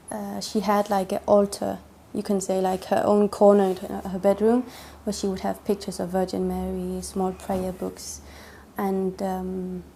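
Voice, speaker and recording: Young woman, interviewee, hesitant and full of fillers, medium pitch, faint hiss behind her. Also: gravelly, mellow. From Denmark. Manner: hesitant, many fillers and restarts. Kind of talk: personal testimony interview.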